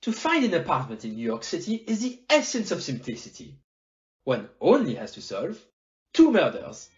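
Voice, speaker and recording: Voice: medium pitch, huge pitch swings, moderate pace, lo-fi sound, faint music behind. An amateur actor in an audio play playing a fussy, confident Belgian detective, theatrical and didactic, with French phrases and rhetorical tags. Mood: courteous, wry.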